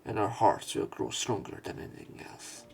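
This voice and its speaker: A man reading a visual novel aloud as a let's player, in an expressive, dramatic narration style. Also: normal speed, a little hiss or hum. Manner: expressive, with emotional swells.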